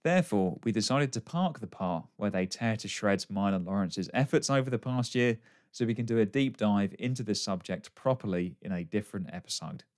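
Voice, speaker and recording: A man's voice: lowish voice, lively intonation, fairly quick. A man hosting a podcast, introducing the show and speaking with guests. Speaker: podcast host.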